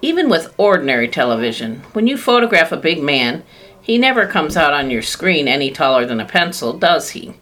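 A woman reading aloud, voicing an excitable, eccentric male chocolate maker who gives loud, commanding exclamations. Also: low voice, fairly quick, exaggerated voice. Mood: brisk showman.

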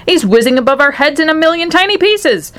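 A woman's voice: sits high, animated, fairly quick, fairly bright tone, voice pushed up. A woman voicing an exasperated, blustering storybook father with a blunt manner. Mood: grim.

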